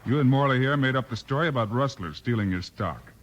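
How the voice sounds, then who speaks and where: An actor playing a steady, commanding marshal hero in a 1950s radio western, firm and plain-spoken. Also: male voice, mid-range voice, fast talker, lightly acted. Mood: accusing. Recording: lightly noisy, clicking 1950s radio recording.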